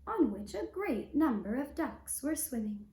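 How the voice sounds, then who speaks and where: A woman storyteller narrating a fairy tale for a puppet show in a measured, deliberate way. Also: high voice, very animated, warm tone. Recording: roomy, echoing sound.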